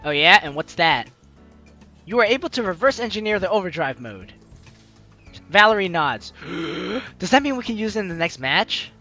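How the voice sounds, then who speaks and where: Bright, animated woman doing game commentary. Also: on the low side, normal speed. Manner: expressive, playful, slangy. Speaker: let's play host. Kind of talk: casual game commentary and reading dialogue aloud. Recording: faint music behind the voice.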